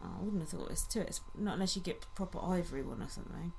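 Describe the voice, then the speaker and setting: A woman's voice: lowish voice, expressive, racing, gravelly, mellow, slight background noise. A woman co-hosting a podcast, chatting casually and mockingly about a reality show and laughing often. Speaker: podcast co-host.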